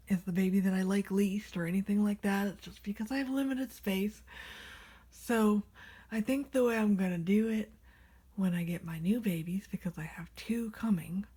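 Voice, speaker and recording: A woman vlogging casually about her doll collection, her raspy voice extra nasal and hoarse from a cold, often dropping to a soft whisper. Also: mid-range voice. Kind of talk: casual rambling vlog. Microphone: handheld camera without tripod.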